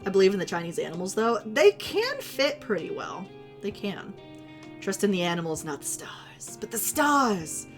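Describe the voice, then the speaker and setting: A woman's voice: mid-range voice, very animated, moderate pace, soft background music. A woman streamer chatting casually with her viewers, rambling and giggling, her voice a little worn as if she is losing it. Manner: giggly, rambling, self-teasing.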